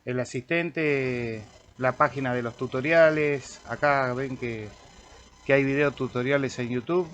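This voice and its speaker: An Argentine man, a radio amateur slowly talking through an audio editor tutorial while clicking through menus. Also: mid-range voice, fairly even intonation, a bit bright. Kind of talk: screen-recorded software tutorial. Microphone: computer microphone.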